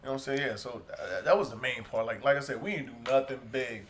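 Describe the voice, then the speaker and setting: A man co-hosting a podcast in relaxed, rambling casual chat. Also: mid-range voice, quick pace, slight background noise. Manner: relaxed, rambling, many fillers. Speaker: podcast co-host.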